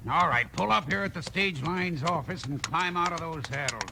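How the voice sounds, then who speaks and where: A male actor playing a gruff, bossy, suspicious cowboy gunman with a Western accent in a 1950s radio drama. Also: fairly high pitch, normal speed. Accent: American Western. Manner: gruff, commanding. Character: hard-nosed, suspicious, bullying western gunman. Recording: noisy vintage 1950s radio recording.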